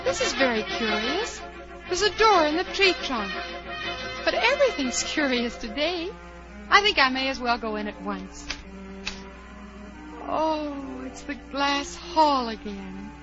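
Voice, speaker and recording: A woman's voice: sits high, sing-song, a little slow, long pauses. An actress playing a curious, outspoken, polite little girl in 1940s radio drama style. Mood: wondering. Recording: muffled 1940s radio recording, faint music.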